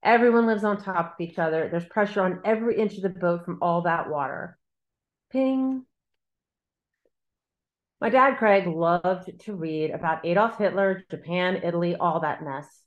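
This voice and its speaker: A woman reading a literary novel aloud as a bold, bossy girl narrator, in measured, declarative sentences. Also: fairly low pitch, highs cut off, lightly acted. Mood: bold, matter-of-fact.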